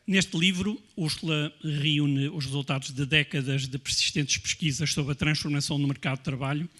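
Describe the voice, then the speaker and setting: Guy moderating a panel, higher voice, formal read-out intro. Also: a bit bright. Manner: formal, reading a prepared introduction. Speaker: session moderator.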